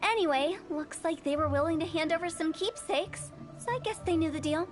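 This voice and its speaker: A woman's voice: very high voice, brisk, over music. A woman voicing a playful, eerie young female funeral parlor director in a video game, teasing, theatrical and self-assured. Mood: matter-of-fact. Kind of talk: video game character acting.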